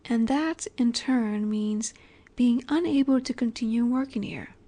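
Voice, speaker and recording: Soft, slow-speaking vampire seductress, acted by a woman. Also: fairly high pitch. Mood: coaxing, earnest. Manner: soft, breathy, measured, often dropping to a whisper. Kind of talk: intimate scripted audio roleplay.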